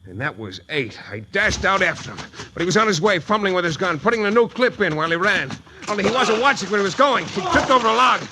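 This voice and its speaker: An actor playing a tough, wry private detective, narrating and talking in clipped, intense 1940s radio style. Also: male voice, high-pitched, very animated, quick pace, few pauses, noisy background. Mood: urgent, grim. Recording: 1940s radio broadcast recording.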